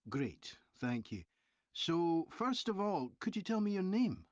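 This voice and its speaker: An actor playing a polite train company representative asking survey questions in a scripted listening-test dialogue, clearly enunciated. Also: mid-range voice, very animated, unhurried, no echo, muffled recording. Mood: polite, inquiring.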